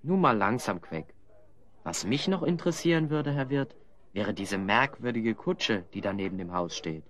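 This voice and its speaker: A man's voice: fairly low pitch, animated, normal speed, a bit bright. A man playing a good-natured, teasing, curious adventurer hero with a wry manner in a radio play. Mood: inquisitive.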